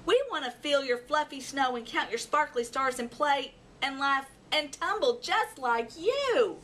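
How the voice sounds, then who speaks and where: A woman's voice: high-pitched, animated, a little slow, fairly bright tone, voice pushed up. A woman voicing a dreamy, bashful female owl who is eager for stories, in a children's storybook. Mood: excited pleading. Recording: distant mic, faint background noise.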